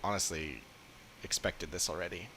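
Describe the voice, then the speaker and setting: A man's voice: deep voice, normal speed, noisy background. A man giving casual livestream game commentary, musing and opinionated as he goes. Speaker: game streamer. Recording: game music behind.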